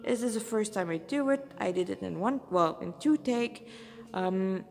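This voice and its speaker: A woman's voice: mid-range voice, lively intonation, normal speed, gravelly, darkish tone, in a large hall, soft background music. A woman with a non-native English accent, an amateur narrator reading a story dramatically and sometimes stumbling over words. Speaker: amateur fan fiction narrator.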